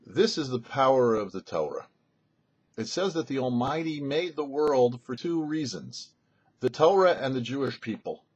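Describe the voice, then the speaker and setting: A man, a rabbi, giving a weekly Torah commentary in a thoughtful, explanatory teaching manner with pauses. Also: mid-range voice, expressive, darkish tone, breathy edge, muffled recording. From United States. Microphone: studio mic.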